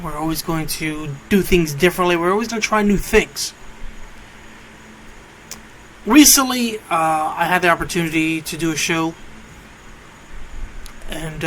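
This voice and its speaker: A man in his late thirties hosting an internet radio show, talking off the cuff in a casual, halting monologue. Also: a little high, a bit bright, low-quality recording. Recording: clear background noise.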